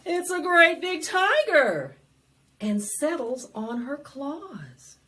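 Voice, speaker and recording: A woman's voice: sits high, sing-song, slow pace, sharp tone. A woman hosting a preschool storytime, reading a picture book aloud in a sing-song, dramatic way and asking the children questions. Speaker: library storytime host. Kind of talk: animated preschool read-aloud with singing.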